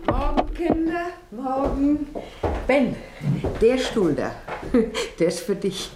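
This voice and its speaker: An actress in a German radio drama playing an anxious, placating middle-aged farmer's wife, hesitant and soothing in manner. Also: female voice, high voice, very animated, slow, heavy background noise, exaggerated voice. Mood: motherly.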